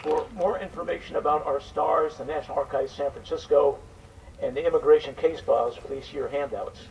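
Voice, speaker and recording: A man's voice: fairly high pitch, steady tone, fairly quick, lo-fi sound. An older man introducing and narrating a live event, reading from a script as presenter. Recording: distant mic, faint background noise.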